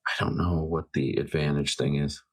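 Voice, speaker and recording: Male tabletop player, low-pitched voice, casual improvised dialogue in his own voice. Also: level tone, moderate pace.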